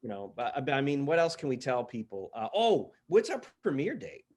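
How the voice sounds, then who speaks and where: A man's voice: mid-range voice, big pitch swings, moderate pace, darkish tone. Casual male podcast host. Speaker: interviewer and host. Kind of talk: casual promotional chat. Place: virtual studio online call.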